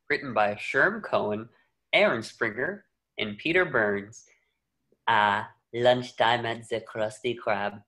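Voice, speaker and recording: A man reads out stage directions as narrator. His voice sits at a medium pitch, describing the action from a script. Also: fairly bright tone.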